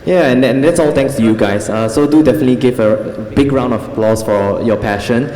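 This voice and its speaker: A man's voice: medium pitch, a little fast, mellow. Man moderating in an echoey conference hall. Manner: casual, with many fillers and restarts. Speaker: panel moderator. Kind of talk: conference fireside chat. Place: large conference hall stage.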